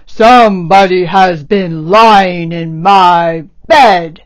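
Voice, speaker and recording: A woman voicing a huge papa bear in a children's story, with a rough, gruff voice and slow, loud delivery. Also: fairly low pitch. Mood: indignant.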